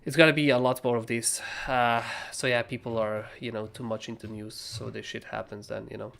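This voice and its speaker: Hoarse, gravelly young man rambling. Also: medium pitch, level tone, brisk, a bit bright. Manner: loose, rambling, swears casually. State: hoarse, raspy voice. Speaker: crypto trading livestream host. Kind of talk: casual live chart analysis.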